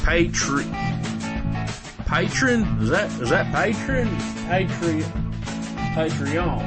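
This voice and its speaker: A man playing a cranky older jailbird with a Southern US accent and folksy drawl, haltingly and grumpily reading credits for a comedy audio drama. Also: a little high, animated. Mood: confused, grudging. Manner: halting, stumbling over words, grumbling and indignant. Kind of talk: comic in-character credit reading. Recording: country guitar music behind the voice.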